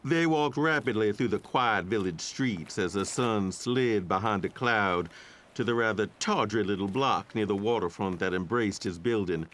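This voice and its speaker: A man's voice: on the low side, a bit bright. A man narrating a scripted ghost story in a descriptive, literary style.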